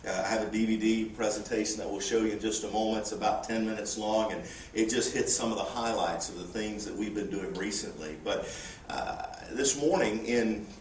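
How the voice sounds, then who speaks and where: A man's voice: fairly low pitch, a little fast. Man in his forties, missionary speaker, folksy and joking, distant mic, echoing church, faint hiss. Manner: folksy and humorous, with many "uh" fillers. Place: church sanctuary.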